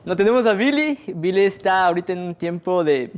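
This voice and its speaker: Friendly male podcast host, halting. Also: high-pitched, animated. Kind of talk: casual podcast hosting.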